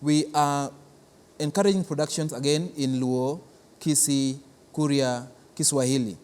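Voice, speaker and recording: A man's voice: on the high side, steady tone, unhurried, a little mellow, smooth, big-room sound. A man with a Kenyan accent giving explanatory interview answers as an official, hesitant and often repeating words as he goes. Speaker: cultural centre official, interview guest.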